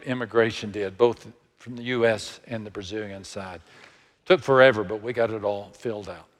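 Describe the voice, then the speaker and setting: A man in his sixties with a Texan accent, preaching a sermon in a slow, measured way that sinks to hushed, near-whispered lines. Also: mid-range voice, in a large hall. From Texas. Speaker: pastor.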